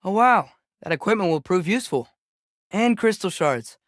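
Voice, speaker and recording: A man's voice: sits high, expressive, normal speed, a bit bright, dry recording, studio quality. A young man voicing an earnest, curious teenage boy adventurer in a video game, with natural, inquisitive phrasing. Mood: excited, wondering. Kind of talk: video game voice acting, companion dialogue.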